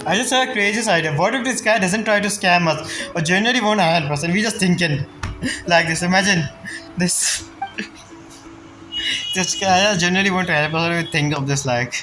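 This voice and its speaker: A woman's voice: lowish voice, moderate pace, fairly bright tone, rough recording. A woman giving casual, skeptical let's play commentary as she streams a game, in an offhand way. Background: game music.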